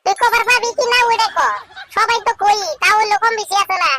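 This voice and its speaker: A man voicing an inquisitive, impatient village friend in a comedy cartoon, with a rural Bangladeshi accent and a squeaky pitched-up voice. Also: steady tone, brisk, very noisy.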